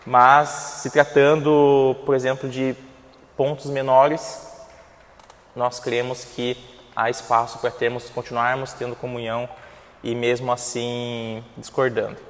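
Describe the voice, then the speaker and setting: A man with a Brazilian Portuguese accent leads a church Bible class, his voice carrying a long reverb through the hall. He talks in a loose, conversational way, pausing on fillers and restarting his sentences. His pitch sits in an ordinary middle range. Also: level tone. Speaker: Sunday school teacher.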